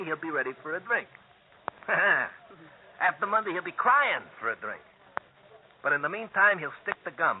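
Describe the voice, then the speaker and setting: A sneering small-time American mobster in an old radio play. His fairly high voice leaps and dips as he needles his rival with slangy mock friendship. The sound is thin and phone-like, with faint hiss. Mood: gloating. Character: cocky small-time hoodlum nursing grudges, falsely chummy with rival. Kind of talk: 1950s American radio crime drama acting. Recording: tinny phone-like old radio recording, hissy.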